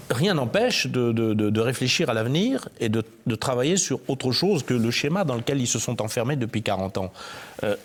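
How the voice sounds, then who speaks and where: Homme invité, hésitant et répétitif. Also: male voice, mid-range voice. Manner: hesitant, repeats words before making his point. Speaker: panel guest. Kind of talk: televised political debate.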